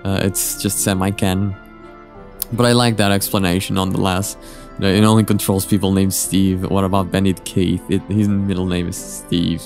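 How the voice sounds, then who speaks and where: A man's voice: low voice, flat delivery, normal speed, darkish tone, faint music behind. A man hosting a reaction video with casual, rambling commentary, hesitant and often repeating his words.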